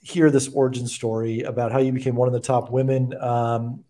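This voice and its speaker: An American man in his forties speaks as a podcast host. His pitch sits in an average range for a man. He interviews in an informal, conversational style, close to a desk microphone in a room at home or office. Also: level tone, fairly quick, dark tone. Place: indoor room with bookshelves, on a video call. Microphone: desk microphone close to the mouth.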